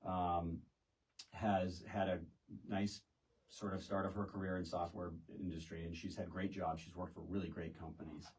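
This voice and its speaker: A middle-aged American man explaining things casually as a podcast guest, halting and wandering off into asides. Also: deep voice, flat delivery. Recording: distant microphone.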